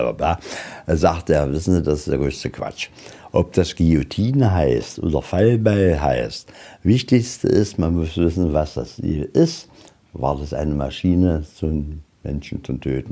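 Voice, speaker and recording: A man chats as a guide, rambling through anecdotes in a matter-of-fact way. His voice is extremely deep, dark and raspy. He talks informally and quotes people as he goes. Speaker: museum guide. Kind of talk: casual anecdotal chat.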